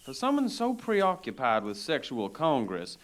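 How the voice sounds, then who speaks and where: An actor playing a prim, formal elf guide who speaks in lofty diction. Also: male voice, mid-range voice, huge pitch swings, a little slow. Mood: haughty. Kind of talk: audio drama acting.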